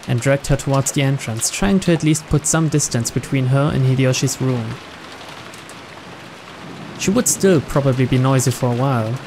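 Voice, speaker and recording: A man's voice: mid-range voice, noisy background. A man reading visual novel narration aloud in a storytelling style. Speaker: narrator, let's player.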